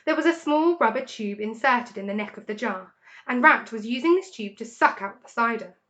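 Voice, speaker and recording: Lady reading a kids book aloud, highish voice, really dramatic storytelling. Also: lively intonation, normal speed, a bit bright, lo-fi sound. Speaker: story reader. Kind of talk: scripted children's story narration.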